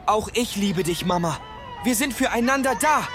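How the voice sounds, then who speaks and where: A man's voice: high voice, lively intonation, moderate pace, over music. An actor playing a devoted young son comforting his mother in a sentimental radio drama. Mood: tender, hopeful.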